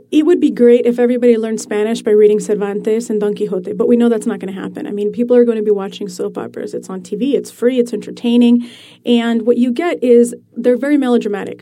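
A woman author being interviewed, explaining her ideas in an animated way and laughing easily. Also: a little high, fast talker. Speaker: author guest. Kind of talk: radio interview.

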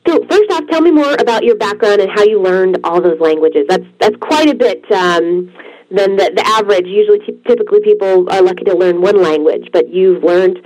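A woman's voice: mid-range voice, expressive, a little fast, darkish tone, low-quality recording. A woman hosting a radio interview show, reading an upbeat introduction and then asking questions. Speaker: radio show host and life coach.

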